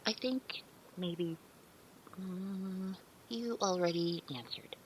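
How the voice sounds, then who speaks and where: A woman's voice: mid-range voice, slow pace, a little hiss or hum, natural voice, rough recording. An actress playing a meek, naive, otherworldly female servant in an audio drama, speaking in careful, stilted, deferential phrases with hesitant hums. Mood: uneasy, careful. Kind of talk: scripted audio drama roleplay.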